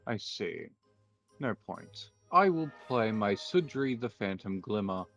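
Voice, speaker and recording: A man improvising an anime card-duel roleplay, calling out his moves in a deliberate, mock-formal way. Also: lowish voice, big pitch swings, fairly bright tone, faint music behind.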